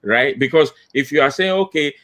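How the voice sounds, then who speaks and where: A man's voice: fairly high pitch, moderate pace. A middle-aged man, a diversity consultant, talking emphatically on a video call from a home office, repeating points for emphasis. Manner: animated, gestures with hands, emphatic repetition. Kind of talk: panel discussion. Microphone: laptop webcam on video call.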